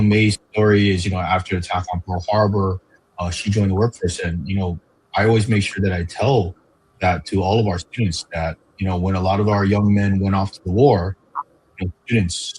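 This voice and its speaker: A man from Hawaii speaking as a museum educator guest in a casual interview, relaxed and friendly, with frequent fillers. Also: lowish voice, slightly rough, rough recording.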